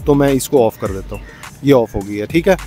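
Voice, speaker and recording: A man's voice: mid-range voice, expressive, brisk, mellow, soft background music. A man, a vlogger demonstrating a product step by step in a tutorial style.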